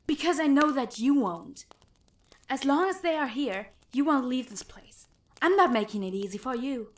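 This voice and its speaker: Woman playing a high-pitched, taunting villainess. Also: lively intonation, moderate pace. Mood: cold menace. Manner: taunting, theatrical. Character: menacing, mocking female villain, mind-controlling and cruel. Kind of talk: audio drama acting.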